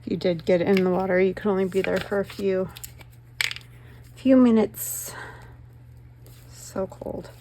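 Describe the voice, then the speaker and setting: Woman, middle-aged, slightly hoarse, rambling chatter, pokes fun at herself, craft vlog, paper rustling, noisy recording. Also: mid-range voice, fairly even intonation, a little slow, pauses often. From northern Nevada, US. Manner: rambling, self-mocking, talks to viewers while working. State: slightly hoarse, losing her voice. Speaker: craft channel host.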